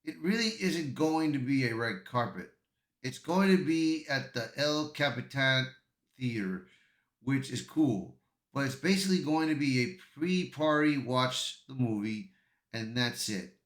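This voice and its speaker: A man hosting an amateur news commentary video, reading aloud and reacting in a halting way, stumbling and repeating words. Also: medium pitch, expressive, a bit bright. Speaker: YouTube commentary host. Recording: echoey, roomy sound.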